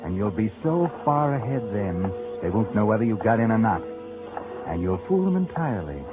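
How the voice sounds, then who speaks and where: A sinister male storyteller from a 1940s radio thriller, needling a fugitive with sly urgency. His low voice comes through tinny old radio sound, with loud music swelling behind it. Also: very animated, normal speed. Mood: urgent. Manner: insinuating, urgent second-person address. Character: sinister, taunting male narrator addressing a fugitive. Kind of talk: 1940s radio suspense narration.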